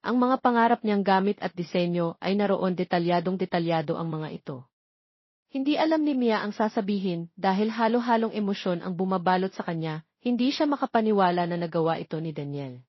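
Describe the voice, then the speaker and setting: A woman's voice: medium pitch, no echo. A woman with a Filipino accent reading a scripted romance story as an audiobook narrator. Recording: slightly dull, lo-fi recording.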